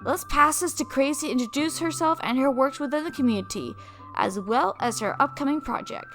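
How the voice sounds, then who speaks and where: High-pitched young woman, scripted channel update. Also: a little fast, a bit bright, soft background music. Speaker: channel host and community leader.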